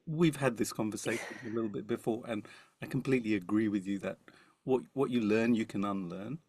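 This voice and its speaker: A man's voice: lowish voice, a little fast, a little mellow, no room sound. A man co-presenting a webinar over a video call, jokey and self-deprecating, rambling with many fillers. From Indian heritage. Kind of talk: joking webinar talk.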